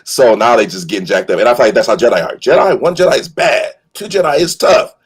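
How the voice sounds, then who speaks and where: A man chatting casually as a co-host, in an animated, slangy way, often repeating and rephrasing himself. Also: medium pitch, fast, slightly rough. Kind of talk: casual fan chat about films and series.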